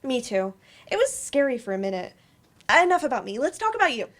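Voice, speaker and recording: A woman acting an eager, supportive best friend in a staged play reading, chatty and warm. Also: sits high, sing-song, a little fast. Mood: concerned, teasing.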